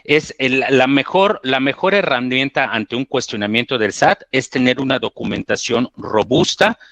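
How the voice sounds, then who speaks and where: Man, Mexican accent, mid-low voice, didactic webinar presenter, very dry sound. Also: normal speed, fairly bright tone, dry recording. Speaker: webinar presenter, transfer pricing specialist. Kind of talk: explanatory professional webinar presentation.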